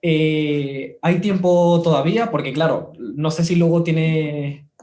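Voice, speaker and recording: A man's voice: on the high side, takes it slowly, a little mellow. A man interviewing casually, politely and tentatively, circling his way toward each question. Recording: roomy, echoing sound.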